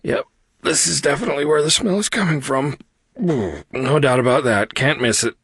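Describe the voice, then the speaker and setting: Man playing an alarmed spaceship crewman, pitched up. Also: moderate pace, a bit bright, breathy edge, dry recording. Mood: choking, alarmed. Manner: exclamatory, choking, alarmed. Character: excitable, queasy male spaceship crewman.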